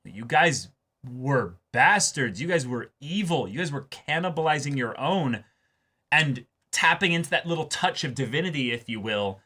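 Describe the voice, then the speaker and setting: A man co-hosting a casual anime discussion, talking emphatically and haltingly, often repeating words as he builds his point. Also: mid-range voice, lively intonation, pushed and bright. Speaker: reaction show co-host.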